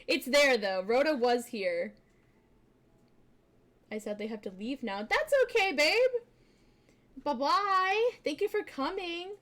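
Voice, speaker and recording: A young woman streamer chatting casually with her viewers, bubbly and giggly, with lots of fillers, switching between quiet asides and excited greetings. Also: slow pace, long pauses. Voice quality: fairly deep voice for a woman. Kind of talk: casual live-stream chat.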